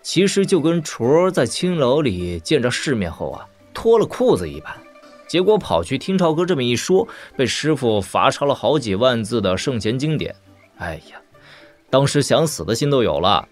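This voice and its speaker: An actor playing a cheeky, curious young lord in a martial-arts audio drama, with a conversational, teasing manner. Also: male voice, medium pitch, very animated, moderate pace, soft background music, close to an everyday voice. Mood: sheepish, joking.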